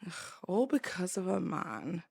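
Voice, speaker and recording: A young woman, hoarse, earnestly delivering an opinion commentary vlog and repeating phrases for emphasis. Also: fairly low pitch, very animated, a little slow, darkish tone. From United Kingdom. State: hoarse, voice going. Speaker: commentary YouTuber.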